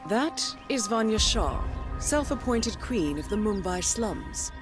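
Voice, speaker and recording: An actress playing a composed intelligence handler, giving a formal, knowing mission briefing in a video game. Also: female voice, mid-range voice, very animated, slow pace, music in the background.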